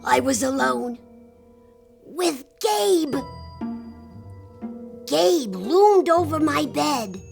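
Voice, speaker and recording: A woman voicing an excitable young boy who tells a children's storybook tale in an expressive, dramatic, slightly creaky way. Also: high-pitched, very slow, pauses often, over music, pitched above the performer's own voice, exaggerated voice. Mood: suspenseful. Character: excitable young boy telling his bedtime monster story.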